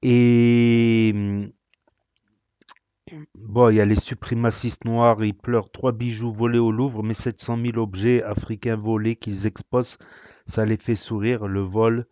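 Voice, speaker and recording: A man's voice: lowish voice, little pitch movement, a little slow, dark tone, no echo, lo-fi sound. A man hosting a reaction video, sarcastic and rambling, with many hesitations. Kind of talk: casual reaction commentary.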